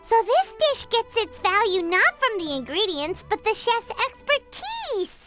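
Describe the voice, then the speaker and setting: Super high squeaky fairy sidekick from a game, childlike n bubbly, slowish pace. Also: female voice, big pitch swings, music in the background, heavily acted. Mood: excited, cheery. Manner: refers to self in third person, exclamatory. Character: tiny floating fairy companion, childlike, bubbly food-loving glutton. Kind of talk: animated video game voice acting.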